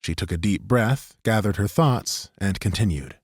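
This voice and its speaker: A man narrating a fantasy audiobook in a measured, even way. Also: lowish voice, husky, dry recording, studio quality. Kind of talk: scripted narration.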